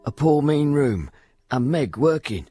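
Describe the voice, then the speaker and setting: An actor playing an anxious, grieving father, husky and gravelly, telling what he sees in halting, repeated phrases in a radio drama. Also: medium pitch, very animated, loud music behind the voice. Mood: sorrowful. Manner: halting, repetitive, hushed storytelling.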